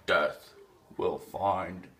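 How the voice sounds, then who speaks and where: A man's voice: low voice, fairly even intonation, very slow, fairly bright tone, heavily acted. A man playing a solemn storyteller, narrating the scripted moral of an amateur puppet show.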